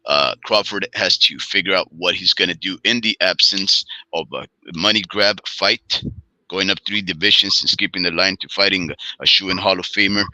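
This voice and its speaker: A man co-hosting a boxing talk show, speaking in a measured, hesitant way with many pauses and formal wording. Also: low-pitched, pushed and bright, dry recording, low-quality recording. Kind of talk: measured casual boxing discussion.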